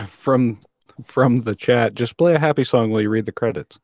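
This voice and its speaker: A man speaking casually as a podcast guest host, with hesitant, measured phrasing. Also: mid-range voice, a little mellow, no echo, clean studio sound. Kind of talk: casual chat.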